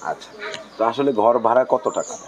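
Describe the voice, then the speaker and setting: A man's voice: medium pitch, fairly even intonation, quick pace, lo-fi sound. A man with a Bangladeshi accent interviewing someone casually, probing and repeating answers back as questions. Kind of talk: casual on-camera street-style interview.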